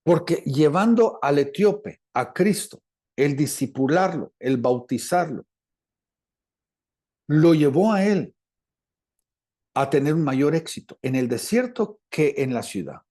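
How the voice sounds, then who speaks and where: A man with a Latin American Spanish accent preaching a teaching sermon, deliberate and repeating phrases for emphasis. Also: on the high side, lively intonation, darkish tone. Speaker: preacher, apostle.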